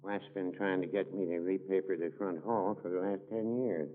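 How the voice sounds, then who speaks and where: Male actor as meek small-town druggist, lowish voice, drawn-out hesitant delivery, radio comedy, thin vintage sound. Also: fairly even intonation, natural voice. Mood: mild, dry. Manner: halting, drawn-out, folksy, noncommittal. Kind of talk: 1940s radio comedy acting. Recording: muffled 1940s radio recording, studio audience.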